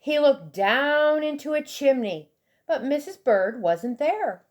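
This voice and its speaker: A woman reading a children's storybook aloud expressively, with deliberate, dramatic emphasis for young listeners. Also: high-pitched, lively intonation. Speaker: storybook reader.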